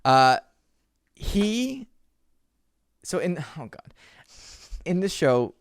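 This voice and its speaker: Guy podcast host w a stammer, really animated pitch, sweary casual chat, dry close sound. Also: extremely slow, clean tone, no echo. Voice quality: has a stammer.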